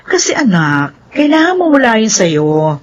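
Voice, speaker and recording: A woman's voice: fairly high pitch, very animated, normal speed, muffled recording, a little hiss or hum. A woman playing a practical mother, obedient to her elders, in a Filipino radio drama. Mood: coaxing.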